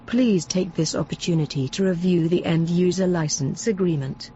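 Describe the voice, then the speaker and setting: A performer voicing an impersonal, polite software announcer in an audio drama, on muffled audio with faint music. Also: mid-range voice, moderate pace, natural voice. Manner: impersonal, formal. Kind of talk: automated announcement.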